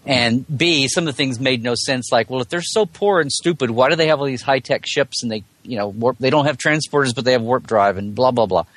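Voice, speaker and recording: A man's voice: medium pitch, brisk, hardly any pauses, a bit bright, no echo. A man chatting casually as a podcast guest, explaining things in detail with jokey asides. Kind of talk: casual interview chat.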